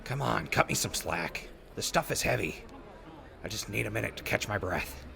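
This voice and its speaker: A man's voice: fairly low pitch, animated, normal speed, gravelly, bright, forceful tone, exaggerated voice. A man playing a weary, good-natured wasteland traveler in an audio drama, an earnest and placating peacemaker. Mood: defensive. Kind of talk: post-apocalyptic audio drama acting.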